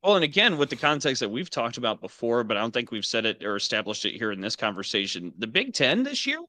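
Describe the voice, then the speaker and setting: A man with a bright, forceful tone breaks down sports in casual conversation, often chiming in to agree. His voice sits in a middle range and is recorded dry and close. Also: medium pitch, sing-song, fast, dry recording. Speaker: co-host.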